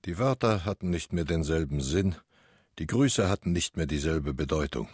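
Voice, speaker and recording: An actor playing a stern village chronicler of life under occupation, narrating in literary radio drama style. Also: male voice, rumbling low, expressive, normal speed, a little mellow, husky, no echo, clean studio sound, heavily acted. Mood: grave, sober. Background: faint music.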